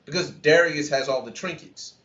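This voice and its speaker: Podcast cohost guy thinking out loud, lots of you know, dull muffled sound. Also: a little high, moderate pace, roomy sound. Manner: thoughtful, restarts often, leans on "you know". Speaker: podcast co-host. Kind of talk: casual reaction podcast chat.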